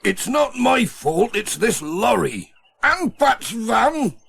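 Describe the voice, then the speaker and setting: A flustered farmer in a children's storybook. The voice is high and gravelly, slow and very animated as he grumbles, with slight hiss on the old recording. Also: exaggerated voice. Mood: exasperated. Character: flustered male farmer on a tractor, grumbling, stuck. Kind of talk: children's storybook audiobook character voice.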